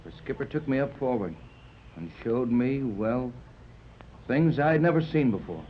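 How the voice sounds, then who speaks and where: An actor playing Tex, a steady, commanding ship's officer, in a 1930s radio drama. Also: male voice, medium pitch, measured pace, natural voice. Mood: uneasy. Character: steady, grave male seaman, middle-aged. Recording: muffled old radio transcription with hiss.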